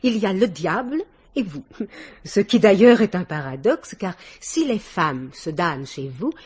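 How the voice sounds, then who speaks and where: A woman's voice: mid-range voice, very animated, measured pace, darkish tone, slightly rough. An actress playing a worldly, domineering older society woman in a vintage French radio drama, theatrical and effusive with little laughs. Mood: gushing, flattering.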